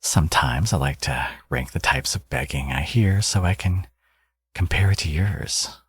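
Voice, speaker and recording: A man playing a smug, teasing supervillain and doting new father in an intimate ASMR audio roleplay, murmuring playfully and cockily in a breathy, gravelly voice. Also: a bass voice, moderate pace, dry recording, studio quality, full character voice. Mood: teasing, cocky. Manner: playful, cocky, murmuring to a lover.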